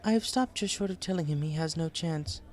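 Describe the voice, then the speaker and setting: A man's voice: a little high, brisk, pitched above the performer's own voice, lightly acted. A refined mare seamstress from a storybook, acted out by a man. The voice is raised well up and genteel, with a theatrical, wistful whine and prim phrasing. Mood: wistful, hesitant. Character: refined, melodramatic adult female pony seamstress, lovelorn and whiny. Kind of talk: audiobook character voice.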